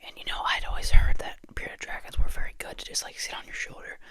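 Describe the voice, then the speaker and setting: An ASMR host talking casually and hesitantly in a soft, breathy, husky whisper, rambling in a chatty way. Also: quick pace. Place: a room at home.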